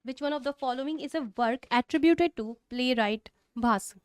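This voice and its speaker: Female co-host, Indian accent, fairly high voice, polite and deferential, brief acknowledgements, dry sound. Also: moderate pace, smooth, dry recording. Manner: deferential, agreeing. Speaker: co-host educator. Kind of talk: panel moderation with short polite acknowledgements.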